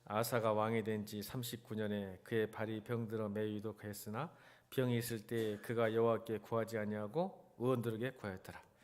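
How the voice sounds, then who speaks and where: A man's voice: lowish voice, level tone, a little fast, a little mellow. A man preaching a church sermon as a pastor, conversational yet exhorting, often posing rhetorical questions straight to the congregation. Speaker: senior pastor. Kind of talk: church sermon with Bible reading.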